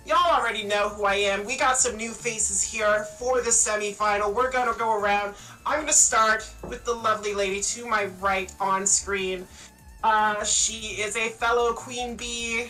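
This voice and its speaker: A woman's voice: on the high side, bright, forceful tone. A woman from Canada hosting a live esports stream, with joking and boastful banter. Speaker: host and caster. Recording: distant mic with faint music. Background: music.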